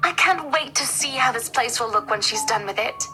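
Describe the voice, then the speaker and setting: High-voiced refined woman, game character, acted by a woman. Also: lively intonation, moderate pace, close to an everyday voice. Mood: pleased, gushing. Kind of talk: video game voice acting. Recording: music playing over the voice.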